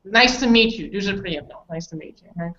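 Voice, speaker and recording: A woman's voice: mid-range voice, expressive, fast talker, sharp tone, slight background noise. A woman with a Canadian accent leading an informal online English lesson, repeating and rephrasing simply for learners. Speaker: English conversation teacher. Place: indoors on an online group call. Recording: dull roomy online call audio.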